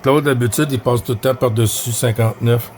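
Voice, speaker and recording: A man with a Quebec French accent chatting casually as a CB radio operator, halting and often repeating his phrases. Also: medium pitch, fairly even intonation, slight background noise. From Quebec, Canada. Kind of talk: casual radio chat with greetings.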